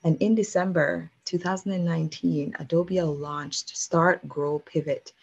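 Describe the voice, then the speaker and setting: A woman's voice: fairly low pitch, steady tone, unhurried, vocal fry, husky, lo-fi sound. A woman speaking as the host of an interview show, introducing a guest and asking questions.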